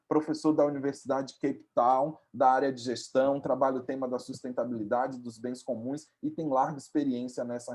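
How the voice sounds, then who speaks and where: A man moderates an online webinar and introduces the first guest. He speaks courteously and at a measured pace, with a voice of middling pitch. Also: a little mellow. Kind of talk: formal introduction. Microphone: video call.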